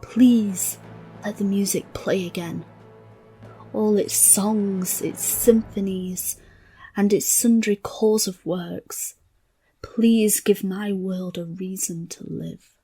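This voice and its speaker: A desperate king, acted out by a woman storyteller pleads with regret. The delivery is theatrical storybook speech. Soft music plays beneath. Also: mid-range voice, steady tone, takes it slowly, rough recording. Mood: desperate pleading. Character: desperate, remorseful old-world king pleading. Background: instrumental music.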